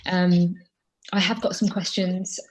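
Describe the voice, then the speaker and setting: A woman's voice: lowish voice, level tone, slow pace, slight background noise, low-quality recording. A woman hosting an online conversation with a fellow poet over a video call, effusive and rambling in her questions. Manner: effusive, rambling, with fillers and restarts. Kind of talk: conversational interview.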